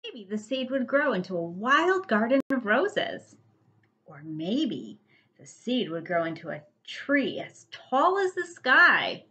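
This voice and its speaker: A woman who teaches first grade reads a picture book to young children in an animated, emphatic storytelling manner. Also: on the high side, takes it slowly, low-quality recording.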